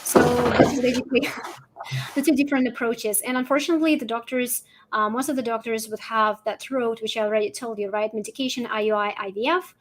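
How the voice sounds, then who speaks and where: A woman with a Russian accent and a somewhat high-pitched voice speaks as a guest coach in a conversational interview. She explains step by step, often punctuating her phrases with a checking "right?". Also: fairly even intonation, a little fast, low-quality recording. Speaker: guest, holistic fertility coach.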